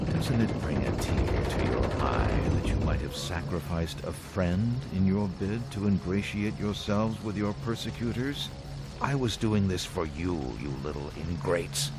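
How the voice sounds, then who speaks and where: A man's voice: very deep voice, full character voice. An actor playing an imperious male mutant overlord who lectures with contempt. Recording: music score loud behind.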